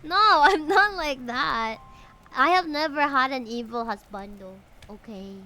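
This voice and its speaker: A woman's voice: high-pitched, animated, unhurried, sharp tone. A woman streaming a game, giving casual, slangy live commentary and chatting with viewers. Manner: informal, slangy. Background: music.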